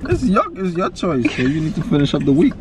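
Lively young man chatting in a car. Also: brisk, background noise. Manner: animated, pleading, swings in pitch. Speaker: vlogger's fiancé. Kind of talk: casual conversation. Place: passenger seat of a car. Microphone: dashboard camera a little away.

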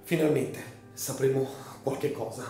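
Man acting a passionate young gentleman, echoey room. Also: mid-range voice, fairly even intonation, a little slow, lightly acted. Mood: worried. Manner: dramatic, emphatic, emotional. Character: passionate, anguished young gentleman fearing for his sister. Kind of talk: radio drama acting. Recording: echoey room, music underneath.

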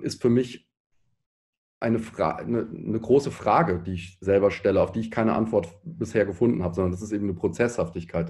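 Male discussion guest, thirties, low mellow voice, halting and thoughtful, reworks sentences as he goes. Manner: halting, searching, often rephrasing mid-sentence. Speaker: panel guest, pop musician. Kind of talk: reflective panel discussion.

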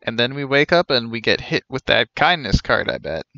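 A man's voice: mid-range voice, very animated, moderate pace, vocal fry, bright, forceful tone, no echo, highs cut off. A young man giving casual, rambling live commentary as he streams a game.

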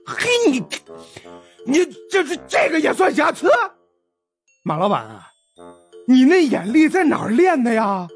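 Brash fat hustler, high squawky pitch, wildly swooping, sarcastic, stammering, audiobook character. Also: male voice, very animated, measured pace, long pauses, soft background music, exaggerated voice. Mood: indignant, sarcastic. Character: brash, indignant fat young male hustler haggling over treasure.

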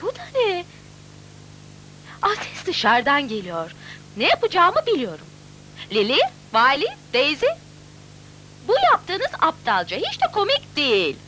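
Indignant little girl heroine, piercingly high, wildly animated, cartoon dub, noisy old recording. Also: unhurried, long pauses, full character voice. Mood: puzzled, annoyed. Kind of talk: dubbed cartoon acting.

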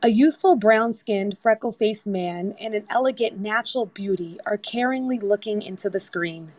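A woman narrates a screenplay, reading out scene directions. Her voice sits at a middle pitch, and the sound is very dry over a voice-chat app. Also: moderate pace, a little mellow, no echo. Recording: online group voice-chat app.

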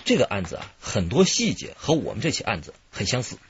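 An actor playing an eager, friendly young police computer investigator in a radio drama. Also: male voice, on the low side, huge pitch swings, a little fast, lo-fi sound, slight background noise. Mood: eager, thoughtful. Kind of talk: Chinese radio drama acting.

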